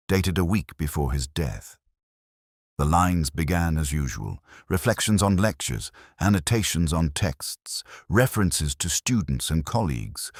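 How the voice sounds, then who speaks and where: A man playing a melancholy middle-aged police inspector, narrating his mystery in measured, solemn, literary prose. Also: rumbling low, no room sound, clean studio sound, heavily acted. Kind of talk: scripted first-person literary mystery narration.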